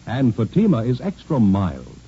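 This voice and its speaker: A male commercial announcer in old-time radio style, hugely animated in pitch as he makes an emphatic pitch. His tone is very mellow and husky, on a dull vintage recording. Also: moderate pace. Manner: emphatic, selling. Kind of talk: 1950s radio advertisement read.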